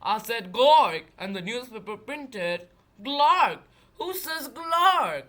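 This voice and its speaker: A huge, touchy storybook Bigfoot, played by a woman. The voice is gravelly like a bear's and grumbles along slowly and reluctantly. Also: big pitch swings, fairly bright tone, full character voice. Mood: grumbling. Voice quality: deep, gravelly, bear-like. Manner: slow, grumbling.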